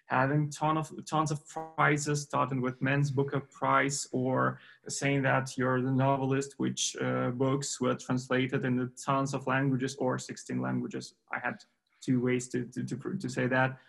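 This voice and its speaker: Guy asking interview questions, gravelly voice, stumbles and restarts a lot. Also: medium pitch, monotone, fairly bright tone. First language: Ukrainian. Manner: halting, restarting words, searching for phrasing. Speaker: interviewer at a book forum.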